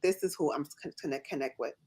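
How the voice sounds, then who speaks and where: A woman with a slight Jamaican accent, talking fast and with emphasis as she tells her own story in a casual live chat. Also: low voice, dark tone, husky. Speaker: nurse and business owner, guest.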